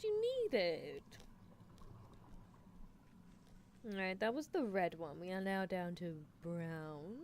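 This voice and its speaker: Woman playing a teasing British mad scientist girl, noisy background. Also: medium pitch. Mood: coaxing, teasing. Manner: teasing, softly coaxing, clinical asides. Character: playful, bossy British female mad scientist horse-girl. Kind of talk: ASMR roleplay livestream in character.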